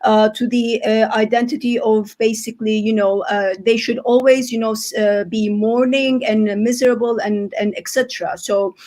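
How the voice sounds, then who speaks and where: Measured, higher-voiced woman panelist. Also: fairly even intonation, darkish tone. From Turkey. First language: Turkish. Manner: measured, reflective, checking in with tag questions. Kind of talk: webinar panel talk. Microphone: video call.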